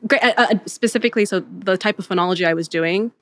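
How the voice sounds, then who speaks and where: A young woman talks as a podcast guest, chatty and animated, going back over her studies with plenty of "like" and "um". Her voice sits at an ordinary middle pitch. The sound is dry and close, with no room around it. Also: fast talker, no echo. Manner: chatty, animated storytelling with frequent fillers. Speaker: guest, literary translator. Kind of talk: casual conversational interview.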